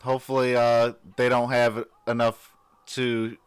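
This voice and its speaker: A man hosting a live stream, reacting to a game and chatting with viewers in a sleepy, just-woken voice, cheering like an excitable fan. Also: medium pitch, measured pace, smooth, no room sound. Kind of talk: casual live reaction chat.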